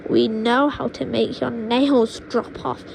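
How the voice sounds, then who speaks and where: A woman voicing a sinister, witchy old grandmother in a storybook, menacing and secretive, with a drawn-out delivery. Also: steady tone, gravelly, darkish tone, exaggerated voice. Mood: sinister boasting. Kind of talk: storybook character voice in an audiobook reading. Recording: noisy low-quality home microphone.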